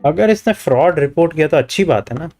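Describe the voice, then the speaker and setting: A man's voice: a little high, lively intonation, racing, darkish tone, soft background music. A man from India with an Indian accent, giving casual livestream game commentary and switching between languages. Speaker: game streamer. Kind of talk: casual livestream commentary mixing Hindi and English.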